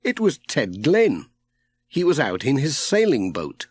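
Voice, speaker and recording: A male narrator reads a children's story at a slow, measured pace. His medium-pitched voice moves expressively up and down, with no room sound around it. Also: wildly swooping pitch, a little mellow, slightly rough, no echo. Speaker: audiobook narrator.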